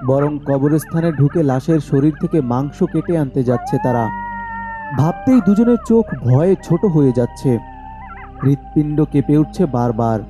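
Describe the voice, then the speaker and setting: A man narrating a scripted horror story as its storyteller. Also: fairly high pitch.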